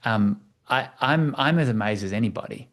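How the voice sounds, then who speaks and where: A man's voice: mid-range voice, expressive, moderate pace, a bit bright. A middle-aged man from Tasmania with an Australian accent, telling his story casually as an interview guest on a video call. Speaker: interview guest, church staffing executive.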